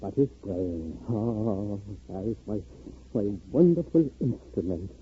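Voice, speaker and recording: A man's voice: lowish voice, very animated, slow, warm tone, slight background noise. A man playing a secretive, proud professor in a 1950s radio drama, fussy and hesitant, often stammering. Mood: proud, lecturing. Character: flustered, secretive professor-inventor, proud of his creation. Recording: muffled vintage 1950s radio recording.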